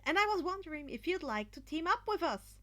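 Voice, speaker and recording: A woman's voice: extremely high pitch, big pitch swings, a little fast, pushed and bright, voice pushed up, heavily acted. A woman voicing an earnest, determined teenage boy who talks persuasively and politely, in a fan fiction reading. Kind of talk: fan fiction podfic character voice.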